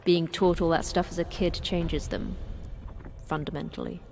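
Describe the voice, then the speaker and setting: An actress playing a terse, guarded manager of a secret facility in an audio drama, speaking in a measured, blunt way. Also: female voice, lowish voice, steady tone, creaky, a little mellow.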